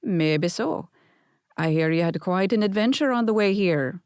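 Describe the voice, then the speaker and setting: A woman voicing a warm, teasing older Scottish grandfather with a soft brogue in an audiobook. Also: mid-range voice, lively intonation, measured pace, dry recording, clean studio sound. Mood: teasing, welcoming. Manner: twinkly, welcoming, gently flirtatious.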